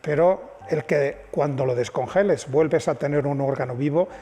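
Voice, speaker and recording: A man's voice: a little high, normal speed, dark tone, husky, in a large hall. A man, a scientist guest explaining his field on a panel, patient and emphatic. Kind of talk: panel discussion.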